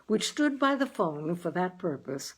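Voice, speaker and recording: A woman's voice: lowish voice, big pitch swings, unhurried, breathy edge. A woman reading a literary short story aloud as scripted narration. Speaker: story reader.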